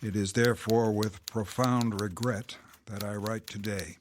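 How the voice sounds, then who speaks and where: An actor playing a reserved army captain in a radio drama, speaking in a formal, measured, courteous way. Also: male voice, lowish voice, fairly even intonation, background noise, lightly acted. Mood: solemn, regretful.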